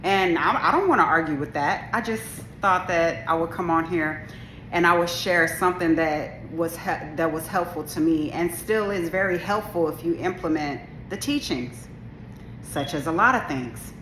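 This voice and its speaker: A woman with a low, deep voice talks to camera in a casual monologue. Her delivery is strong, powerful and assertive, though she rambles and restarts often. The sound is roomy and echoey, with a faint hum of ambience behind her. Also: moderate pace, fairly bright tone. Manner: rambling, with many restarts and asides. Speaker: video creator. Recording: echoey room.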